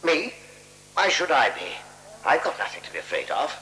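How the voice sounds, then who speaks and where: An anxious, complaining hotel guest in a vintage radio play. He is demanding and fretful, with a bright edge and widely moving pitch. The old recording carries faint hiss and hum. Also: very animated, moderate pace, rough recording. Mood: uneasy, cautious. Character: querulous, demanding, anxious male hotel guest, Mister Barton. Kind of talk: 1970s radio play acting. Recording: hissy, humming 1976 radio recording.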